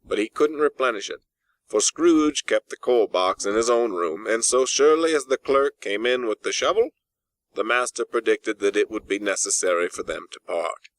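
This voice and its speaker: A man reading a classic story as an audiobook narrator, in a measured, expressive storytelling manner. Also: on the low side, no room sound. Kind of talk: scripted literary narration. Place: dry in-house recording space.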